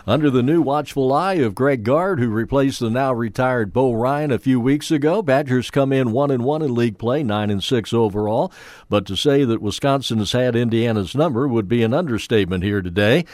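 A man's voice: mid-range voice, moderate pace, a little mellow, no echo. A man in his sixties delivering a scripted radio sports report in a conversational way, with small hesitations. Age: 60s.

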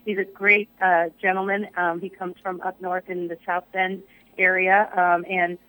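Woman chancellor chatting on radio, upbeat, voice going hoarse, um uh everywhere. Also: on the low side, level tone, moderate pace, bright, forceful tone, dry recording, rough recording. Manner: upbeat, many fillers. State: losing her voice. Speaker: community college chancellor. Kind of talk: casual radio interview.

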